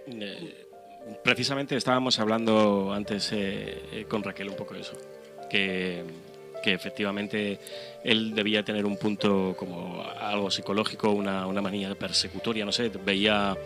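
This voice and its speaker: Spanish guy on radio, fairly low, rambly casual chat, faint music under him. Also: flat delivery, moderate pace, creaky. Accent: Spanish (Spain). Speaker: radio show co-host.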